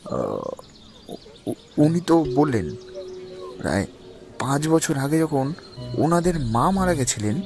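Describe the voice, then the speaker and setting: An actor playing an uneasy local neighbour in an audio drama, gossiping in a halting, stammering way. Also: male voice, a little high, a little mellow.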